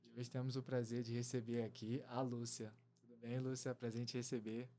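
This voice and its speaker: A male podcast co-host with a mid-pitched voice. He welcomes the guest and keeps the talk moving with open, encouraging questions. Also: little pitch movement, brisk, mellow. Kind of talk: podcast interview.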